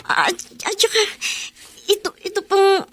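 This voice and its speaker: A woman's voice: extremely high pitch, animated, measured pace, slight background noise. An actress playing a naive, polite young female student in a Filipino radio drama, hesitant and deferential. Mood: uneasy.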